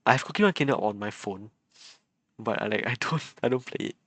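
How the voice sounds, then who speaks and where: A man's voice: medium pitch, lively intonation, a little fast, a bit bright, dry recording. A man livestreaming a game, chatting casually with viewers, chuckling often and stammering over repeated words. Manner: chuckles often between remarks.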